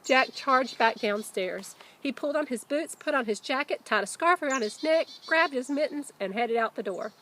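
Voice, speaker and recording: A woman, a teacher reading a children's book aloud expressively, with deliberate emphasis. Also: high-pitched, animated, fairly bright tone, slight background noise. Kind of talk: children's storybook read-aloud.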